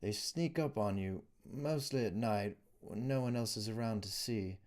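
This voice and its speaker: A resigned male captive in a fantasy audiobook, acted by a man reader. He speaks in a hushed, confiding whisper. The voice is smooth and light, with a mellow softness. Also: lowish voice, normal speed, close to an everyday voice. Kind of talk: audiobook character voice.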